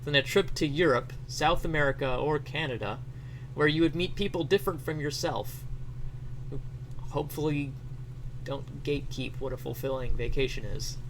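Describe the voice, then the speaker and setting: A man's voice: a little high, normal speed, slight background noise, rough recording. A man doing a mock dramatic reading, theatrical in delivery, with sarcastic asides.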